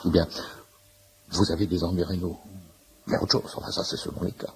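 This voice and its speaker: A man's voice: deep voice, little pitch movement, brisk, creaky, a little mellow, slight background noise, poor audio. A man playing a talkative, nosy shopkeeper who eagerly explains homeopathy in a pedantic way, in a French radio play. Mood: admiring, confiding. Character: chatty, nosy shirt-shop owner, amateur homeopathy enthusiast in waiting room. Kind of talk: naturalistic French radio comedy-drama acting.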